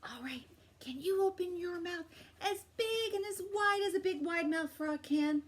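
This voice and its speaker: A woman hosting a children's storytime, reading aloud with expressive, encouraging, exaggerated delivery. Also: very high voice, moderate pace. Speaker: library storytime host. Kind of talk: animated read-aloud for young children.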